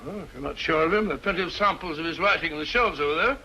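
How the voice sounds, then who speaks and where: A man playing a kindly, bumbling British gentleman sidekick in a 1940s radio mystery, genial and given to muttering. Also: fairly high pitch, very fast, highs cut off. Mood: chatty. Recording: slightly noisy old radio recording.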